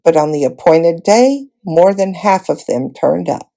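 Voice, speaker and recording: A woman reads a fantasy storybook aloud. Her voice sits low and relaxed, with a noticeable creaky edge. She delivers the narration expressively, as a volunteer reader would. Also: measured pace.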